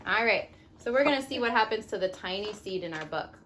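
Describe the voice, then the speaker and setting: A woman teaching young children as a mother at home, reading a picture book expressively and pausing to ask simple questions. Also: medium pitch, a little hiss or hum. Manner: expressive, instructive, asks leading questions.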